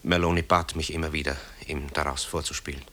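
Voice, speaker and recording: Radio drama son: extremely low register, haunted, grave, halting confessions. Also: male voice, steady tone, sharp tone, slight background noise. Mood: tense, confiding. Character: tormented adult son, composer haunted by wartime guilt. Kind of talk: German radio drama acting.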